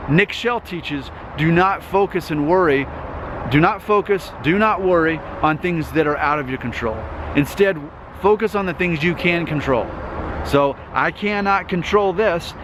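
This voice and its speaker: A man in his thirties talking to camera in an earnest vlog monologue. He repeats himself and keeps checking in with "okay?". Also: on the high side. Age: 30s. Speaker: YouTube host. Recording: noisy outdoor recording with traffic.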